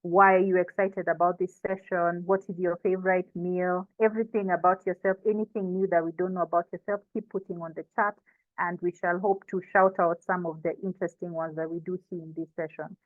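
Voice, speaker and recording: Phone-like Kenyan woman hosting, lower-pitched voice. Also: flat delivery, a little fast, no room sound. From coastal Kenya. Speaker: host and moderator of an online information session. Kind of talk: informal hosting, introducing panelists. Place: online video session. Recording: thin phone-like online call audio.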